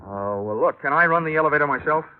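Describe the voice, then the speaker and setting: A man's voice: a little high, animated, fast talker, lightly acted. Man acting wry hardboiled radio detective, vintage sound. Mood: wry, wary. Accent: American. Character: wisecracking hardboiled male insurance investigator narrating his case. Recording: dull vintage radio recording with loud music.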